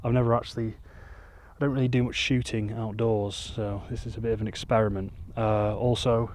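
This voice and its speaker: Monotone young man outdoors. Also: lowish voice, moderate pace, slight background noise. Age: 20s. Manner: monotone, self-deprecating, rambling. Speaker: YouTuber. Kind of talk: casual vlog talk to camera. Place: outdoors on a hilltop. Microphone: mic with furry windscreen.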